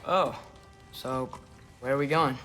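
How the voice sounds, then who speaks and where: A man's voice: mid-range voice, takes it slowly, sharp tone, soft background music. A young man playing an earnest half-giant teenage boy in a video game cutscene, persuasive but tentative. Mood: puzzled.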